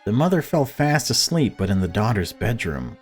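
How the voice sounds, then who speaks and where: Man, low voice, let's player, story narration with casual asides, faint background music. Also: sing-song, normal speed. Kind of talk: narrating a visual novel with casual commentary.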